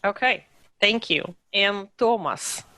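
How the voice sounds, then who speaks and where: A woman hosting and moderating an online panel discussion, speaking in a measured way with occasional fillers. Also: mid-range voice, lively intonation, pushed and bright. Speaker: host and panel facilitator.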